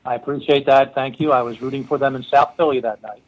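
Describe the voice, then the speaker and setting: A man's voice: medium pitch, fairly even intonation, fast talker, dull audio. A middle-aged man with a Philadelphia accent, a forensic linguist telling stories in an interview, rambling with many fillers and restarts. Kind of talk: casual interview storytelling.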